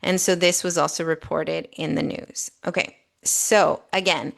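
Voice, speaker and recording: A woman's voice: low-pitched, fairly even intonation, unhurried, vocal fry, a bit bright. A woman co-hosting a podcast, explaining and reading out reports in a methodical, emphatic way, often checking in as she goes. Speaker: podcast co-host.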